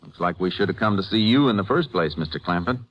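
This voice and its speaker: An American man playing a calm, methodical Texas Ranger in a 1950s radio western, laconic, firm and matter-of-fact. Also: low voice, fast talker, a little hiss or hum, poor audio. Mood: firm, probing. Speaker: lead actor in a radio drama. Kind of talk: 1950s radio western crime acting with first-person narration. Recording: vintage 1950s radio recording.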